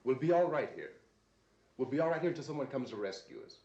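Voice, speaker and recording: Male actor for a resolute survivor in a 1960s horror film: commanding, rapid, insistent, roomy vintage sound. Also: medium pitch, lively intonation, a little mellow, lo-fi sound, lightly acted. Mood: insistent, reassuring. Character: resolute, urgent young man besieged in a farmhouse.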